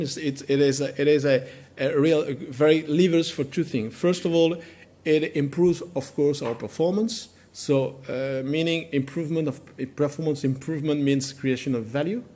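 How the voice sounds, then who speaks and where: A man who is not a native English speaker, presenting at a conference in a halting way, repeating words as he looks for phrasing. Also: a little high, darkish tone.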